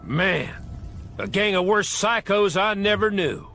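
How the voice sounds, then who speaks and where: An actor playing a folksy, sardonic wisecracking agent in a video game, with a gravelly drawl. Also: male voice, sits high, a little slow, bright, forceful tone, faint music behind, full character voice. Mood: sardonic, amused. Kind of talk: video game cutscene acting.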